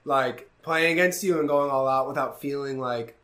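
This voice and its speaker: A young man hosting a podcast in casual chat, animated and swearing freely, with many "like"s. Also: mid-range voice, normal speed. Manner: animated storytelling, swearing, frequent "like". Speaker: podcast host.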